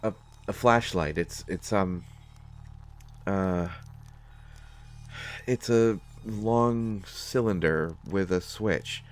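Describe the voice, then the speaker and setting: A man playing a nervous, awkward, well-meaning character in a sci-fi audio drama, speaking haltingly with many stammers. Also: deep voice. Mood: awkward, explaining. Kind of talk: solo scripted audio drama, conversational acting.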